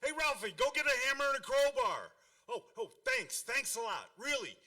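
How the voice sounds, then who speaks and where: Man playing an excitable high-pitched dad, off-mic. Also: normal speed, exaggerated voice. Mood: eager, flustered. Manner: excitable, blustery, repeats words. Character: excitable, childishly proud middle-aged family father. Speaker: actor in a radio play. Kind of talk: comedic radio play acting. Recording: distant microphone.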